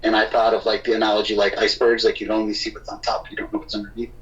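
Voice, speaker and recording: A man's voice: lowish voice, little pitch movement, racing. Man on a dull, distant phone line. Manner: warm, earnest, talks with many fillers. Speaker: singer-songwriter guest. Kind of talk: casual phone interview.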